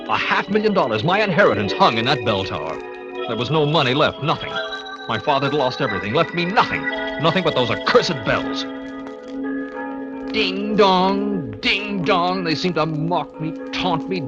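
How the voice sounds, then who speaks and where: An actor playing a bitter, brooding son full of hate, melodramatic in the style of 1940s radio. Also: male voice, a little high, lively intonation, normal speed, close to an everyday voice. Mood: bitter resentment. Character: bitter, hateful son waiting for father's death. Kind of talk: 1940s radio acting with first-person narration. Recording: muffled vintage radio broadcast, loud music.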